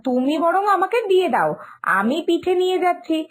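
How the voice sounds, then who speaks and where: Woman as a high-pitched, fussy young granddaughter. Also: expressive, a little fast. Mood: evasive. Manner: fussy, complaining. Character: selfish, evasive young granddaughter, whiny and impatient. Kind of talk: animated moral-story cartoon acting.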